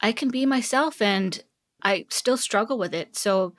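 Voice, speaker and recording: A woman's voice: a little high, measured pace. A pregnant woman in her thirties from South Korea, a tech executive talking earnestly and reflectively as a podcast guest, with frequent light laughs. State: heavily pregnant. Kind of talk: podcast interview.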